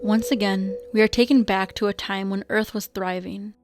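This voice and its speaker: A woman's voice: mid-range voice, steady tone, normal speed, faint music behind. A woman reading scripted narration for an audio drama.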